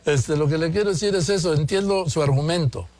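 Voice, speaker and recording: A man's voice: on the high side, fairly quick, a little mellow, no echo. An older man hosting a conversational news interview, insistently restating his points. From born in Madrid, naturalized Mexican. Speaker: interviewer and host.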